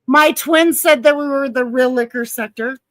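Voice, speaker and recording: A woman's voice: sits high, moderate pace, heavy background noise. A woman hosting a casual livestream chat, playful and chatty, laughing often. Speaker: host.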